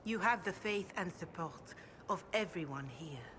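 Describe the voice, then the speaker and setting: An actress voicing a devout, grave priestess in a video game, speaking in a measured, reverent way. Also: female voice, fairly low pitch, lively intonation, a bit bright, slight background noise. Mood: solemn encouragement. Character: devout, grave chantry priestess, calm and counselling. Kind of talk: video game dialogue, scripture recitation.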